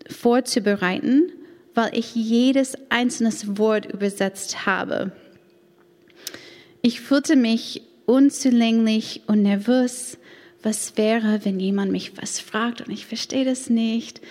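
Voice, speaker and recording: Slow-speaking American woman in an echoing hall. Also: a little high, darkish tone. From United States. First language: English. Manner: slow, earnest, careful delivery with occasional grammar slips. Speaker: church women's ministry leader. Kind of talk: personal testimony talk. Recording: long hall reverb.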